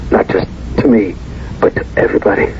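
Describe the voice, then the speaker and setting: An actor playing a dying, vengeful prisoner of war in a radio drama, gasping out insistent demands in a breathy hiss. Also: male voice, brisk, lightly acted. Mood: dying, pleading. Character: dying, vengeful male prisoner-of-war, haunting his friend. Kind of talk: 1970s radio drama acting. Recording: muffled, noisy old radio broadcast.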